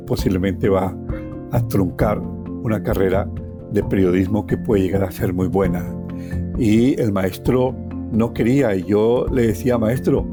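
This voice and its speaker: Colombian man, low voice, reflective and expressive personal storyteller, music underneath. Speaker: interviewee recounting his life.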